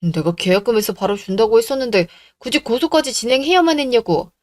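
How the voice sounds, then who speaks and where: A woman's voice: a little high, animated, quick pace, a little mellow, no room sound, lightly acted. A woman plays a coddled young fiancé in a radio drama. He pleads and blames in a whiny, self-pitying way, recorded close and dry. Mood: resentful. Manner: pleading, blaming.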